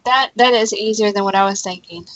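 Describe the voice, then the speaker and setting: A woman's voice: medium pitch, brisk, fairly bright tone. A woman chatting casually as a livestream guest, with brief, laid-back remarks. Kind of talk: casual chat. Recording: dull lo-fi livestream audio.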